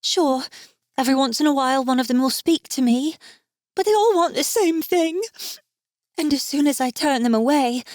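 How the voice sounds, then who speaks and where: A woman voicing a sweet, gentle young elf girl in a fantasy audiobook, with an earnest, vulnerable and emotional delivery. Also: sits high, normal speed, no room sound, clean studio sound, pitched above the performer's own voice, natural voice. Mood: tearful, hurt.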